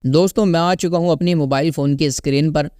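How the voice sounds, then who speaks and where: Higher-pitched man with a clear voice, teaching. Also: fairly even intonation, fast talker, darkish tone, no room sound, studio quality. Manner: instructional, repeatedly checking in with listeners. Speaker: tutorial host. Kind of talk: casual step-by-step screen tutorial.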